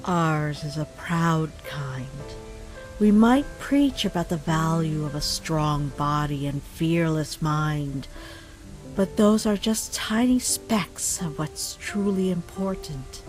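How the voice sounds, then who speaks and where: A woman voicing a wise, nurturing mother deer in an animated comic dub, gentle and unhurried with a teasing side. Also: sits low, soft background music, low-quality recording. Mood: earnest, reassuring.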